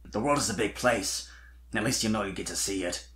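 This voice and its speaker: A performer puts on a young male dragon from a storybook tale. The voice sits low and comes across gentle and low-key, a little awkward and hesitant, like a wistful friend offering reassurance. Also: a little fast.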